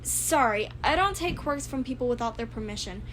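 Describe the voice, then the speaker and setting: A woman's voice: on the high side, animated, brisk, fairly bright tone, a little hiss or hum. A woman voicing an earnest, quirky, skeptical teenage boy gadget builder, chatty and questioning, in a story reading. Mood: earnest, wary.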